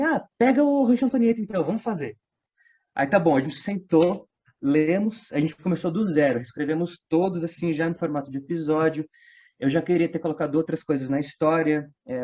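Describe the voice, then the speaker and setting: Slightly high-voiced Brazilian man, lo-fi audio. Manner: animated storytelling, acting out quoted remarks in slangy speech. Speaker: guest, audio drama writer and voice-dubbing worker. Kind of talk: casual interview chat.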